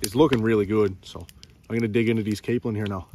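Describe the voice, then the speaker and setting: A man's voice: on the low side, steady tone, quick pace, noisy background. A young man with a Newfoundland accent, chatting enthusiastically to viewers during an outdoor fishing vlog, filmed on a handheld camera outside. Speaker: outdoor vlogger. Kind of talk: casual outdoor vlog chat. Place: outdoors by a river in snow. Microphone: phone or action camera held close.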